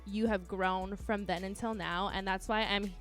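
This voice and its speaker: A woman's voice: mid-range voice, steady tone, moderate pace, faint music behind. A woman interviewer speaking casually and encouragingly in a personal one-on-one conversation.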